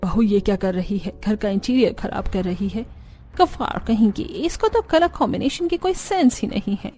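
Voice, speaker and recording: Woman acting a haughty, disapproving mother-in-law, voice lowered. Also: expressive, moderate pace, dark tone, natural voice. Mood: scornful. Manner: sneering, dismissive. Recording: music bed under the voice.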